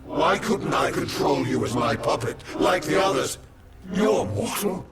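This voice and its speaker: A man's voice: high voice, lively intonation, unhurried, gravelly, loud music behind the voice, full character voice. An actor voicing a wrathful male demigod tyrant in a video game, theatrical and menacing. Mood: enraged contempt. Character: wrathful male demigod, tyrant villain bent on destroying humanity. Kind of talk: video game cutscene acting.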